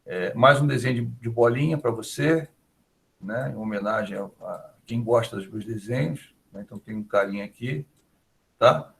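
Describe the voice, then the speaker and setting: A man's voice: mid-range voice, normal speed, vocal fry, a bit bright. A male professor with a Brazilian Portuguese accent, lecturing in a chatty, conversational way and often tagging his sentences with little check-in questions. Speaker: university professor. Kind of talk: online class lecture, conversational.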